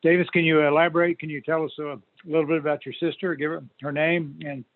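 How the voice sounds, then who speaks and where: A man's voice: fairly high pitch, little pitch movement, quick pace. A male reporter asking conversational questions, stammering and repeating words as he goes. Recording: thin narrowband phone line.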